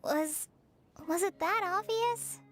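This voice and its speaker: An actress playing a gentle, empathetic young woman in a video game, hesitant and troubled as she speaks. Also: very high-pitched, slow pace. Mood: pensive, troubled. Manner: soft-hearted, hesitant. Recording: music clearly behind the voice.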